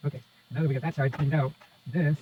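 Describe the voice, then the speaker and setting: A man talks viewers through hands-on work in a casual, step-by-step way, half to himself. His pace is quick. The recording is somewhat dull and lo-fi, with faint noise behind the voice. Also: a little high, fairly even intonation. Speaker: presenter of a radio repair how-to. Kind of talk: casual hands-on demonstration talk. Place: indoors at a repair workbench.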